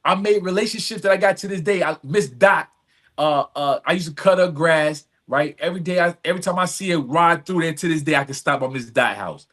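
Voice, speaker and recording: A man's voice: on the high side, brisk, fairly bright tone. A man telling his story earnestly in conversation, repeating phrases for emphasis and checking in with "right?". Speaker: podcast guest, community service advocate. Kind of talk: reflective personal storytelling in conversation.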